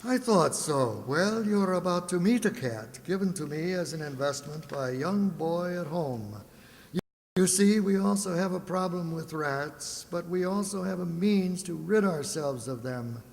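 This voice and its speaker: Guy doing a jovial confident old-time ship captain for kids radio, bit high, hall echo. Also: lively intonation, unhurried, vocal fry, heavily acted. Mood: assured. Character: hearty, polite, confident merchant sea captain. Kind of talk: children's radio drama acting. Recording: reverberant hall sound.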